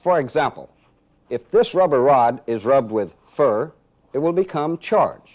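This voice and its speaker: A man's voice: medium pitch, expressive, a little slow. A man giving methodical instructional narration as a training film instructor, explaining step by step and posing rhetorical questions. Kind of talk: instructional narration with live demonstration. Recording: dull, band-limited 1980s training film audio.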